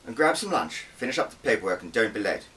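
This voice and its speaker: A man's voice: on the low side, very animated, quick pace, pushed and bright, recorded from a distance, pitched above the performer's own voice. Brusque intelligence boss, acted by a man: curt, bossy, disapproving, for an audiobook; faint background noise. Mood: brisk, urgent. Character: brusque, disapproving male intelligence department head.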